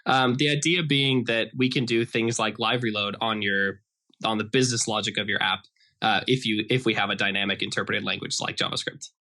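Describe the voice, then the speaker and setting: A man's voice: on the low side, steady tone, brisk, sharp tone. An American man explaining things casually as a guest in a conversational podcast interview, often saying "like". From Idaho, United States. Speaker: interview guest, software developer.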